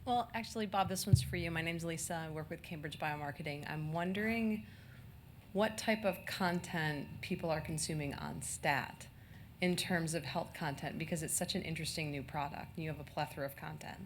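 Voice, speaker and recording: A woman's voice: low-pitched, normal speed, slight background noise. A woman in the audience politely asking the panel a question, sounding keen.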